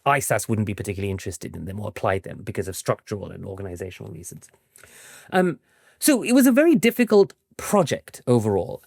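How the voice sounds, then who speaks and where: A man speaking as an expert interview guest, explaining things conversationally and informally, often checking in with a quick "right?". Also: mid-range voice, darkish tone, no echo, studio quality. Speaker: interview guest, historian and author.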